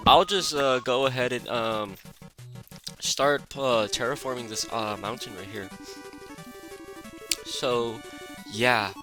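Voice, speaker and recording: Raspy-voiced sick young man gaming. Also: medium pitch, takes it slowly, pauses often. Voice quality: raspy and stuffed-up. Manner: rambling and relaxed, lots of fillers. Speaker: gaming channel host. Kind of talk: casual gameplay commentary. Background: background music.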